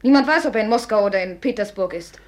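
An actress in a German radio play, playing a proud, sharp-tempered young upper-class woman in a theatrical manner. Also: mid-range voice, expressive, quick pace, lightly acted. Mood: indignant, scornful.

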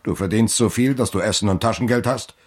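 An actor playing a cold, domineering stepfather in a German radio drama, lecturing in a measured, formal way. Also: on the low side, darkish tone, slightly rough. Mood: cold, stern. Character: cold, stern, domineering stepfather of a young boy.

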